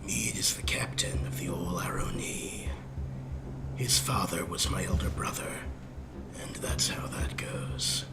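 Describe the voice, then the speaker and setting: A man voicing a proud old male pirate who tells lore in a slow, hushed, gravelly whisper. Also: sits low, animated. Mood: wistful. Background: game music.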